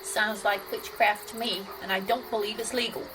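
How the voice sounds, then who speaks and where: A woman's voice: medium pitch, steady tone, moderate pace, background noise, natural voice. A woman playing a blunt, suspicious small-town sheriff who grumbles, in a comedy audio drama.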